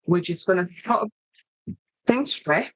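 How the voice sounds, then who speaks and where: A woman's voice: lowish voice, animated, measured pace, a bit bright, dry recording, rough recording. A woman with a Yorkshire accent hosting an informal craft demonstration, chatting to viewers and thinking aloud as she works. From Yorkshire, northern England. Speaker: craft tutorial host.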